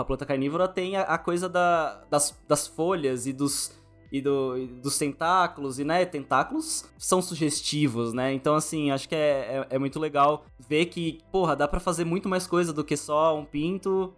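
A man's voice: a little high, normal speed, faint music behind. A man with a Brazilian accent hosting a casual podcast interview in an informal, slangy, rambling way. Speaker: podcast host.

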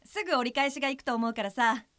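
A woman performs a cheerful young female friend in an audio drama. Her high, bright voice is lively and reassuring, with a dry, close studio sound. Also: quick pace, no room sound.

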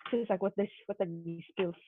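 A woman's voice: medium pitch, fast, a little hiss or hum. A woman in her twenties chatting casually on a podcast, blunt and joking. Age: 20s. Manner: blunt, joking, laughs often. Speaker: podcast co-host. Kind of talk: casual chat. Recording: tinny phone-like sound, dry.

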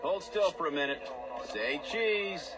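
A breezy male news photographer in a radio drama. He is pushy and lively in a raised register, with music and street sounds behind him. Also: on the high side, unhurried.

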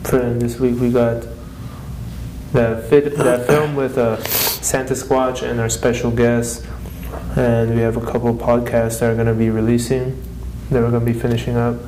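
A man's voice: mid-range voice, little pitch movement, normal speed. A man co-hosting a podcast, talking casually in a laid-back, informal way. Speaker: podcast co-host. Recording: echoey room with faint background noise.

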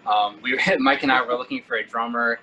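A man from Virginia, singer and bassist of a band, answering interview questions in an easygoing way. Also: fairly even intonation, fast, pushed and bright, muffled recording. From Virginia, USA. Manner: easygoing, laughs often.

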